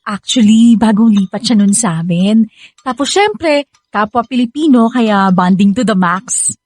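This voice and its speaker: High-voiced, bubbly Filipina daughter. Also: female voice, animated, measured pace, darkish tone, no echo. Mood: chatty. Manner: gushing, playful, giggly. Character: bubbly, chatty adult daughter home from abroad. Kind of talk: Filipino radio drama acting.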